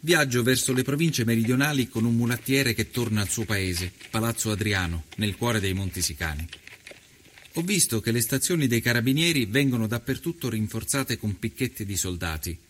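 An actor playing an earnest 1890s journalist, narrating his travels through Sicily in a measured, literary documentary style. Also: mid-range voice, animated, fairly bright tone, breathy edge. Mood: sober, reflective. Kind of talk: scripted documentary narration, read as a first-person travel report.

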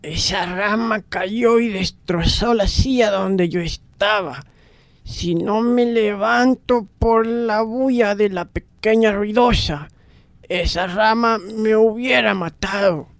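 A woman playing a gruff but caring bookish man, grumbling and unhurried, in a radio drama. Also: mid-range voice, no room sound. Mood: shaken, skeptical. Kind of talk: amateur radio drama acting.

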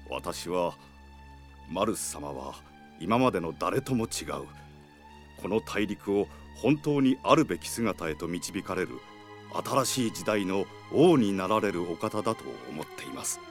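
A deep-voiced, devoted older knight in a radio drama. He is formal and firm, with an animated rise and fall over faint music. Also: a little slow. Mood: earnest, resolute. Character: loyal, earnest older male knight retainer. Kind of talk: Japanese radio drama acting.

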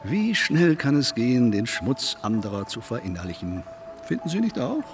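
An actor playing a cynical, coolly provocative man in a stylised radio play, smug, needling and deliberate. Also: medium pitch, sing-song, over music, lightly acted. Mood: mocking, smug.

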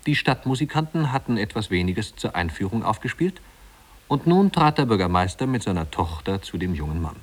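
An actor as a composed storyteller, narrating a literary tale in a measured, wry way in a 1950s radio play. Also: male voice, fairly low pitch, very animated. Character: composed male storyteller of a satirical small-town tale.

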